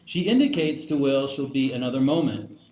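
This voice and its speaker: A man's voice: mid-range voice, lively intonation, moderate pace, darkish tone. A man narrating stage directions at a screenplay table read. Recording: echoey room, muffled, faint noise.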